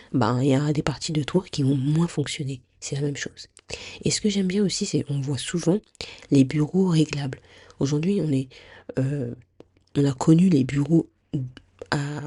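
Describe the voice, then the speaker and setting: A woman's voice: low voice, warm tone, breathy edge, dry recording, clean studio sound. A woman hosting a podcast, chatting directly to the listener with a stuffy nose, many fillers and restarts. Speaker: podcast host. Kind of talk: casual advice podcast monologue.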